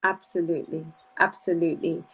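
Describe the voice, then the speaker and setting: Woman, radio host, lowish voice, emphatic questions. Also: level tone, a little fast, breathy edge, low-quality recording. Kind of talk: radio interview hosting.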